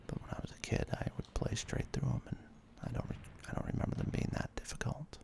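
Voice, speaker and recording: A man's voice: fast, darkish tone. A man in his thirties whispers ASMR video game commentary in a hesitant, self-deprecating, rambling way. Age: 30s. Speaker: ASMR let's play host. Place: apartment.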